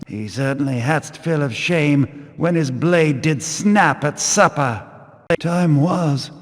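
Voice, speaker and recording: Pious stern game zealot, formal old-timey speech, slow delivery, big echoey hall. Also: male voice, fairly high pitch. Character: stern, devout male Hammerite zealot of a religious order. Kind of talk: archaic, solemn video game dialogue. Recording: long hall reverb.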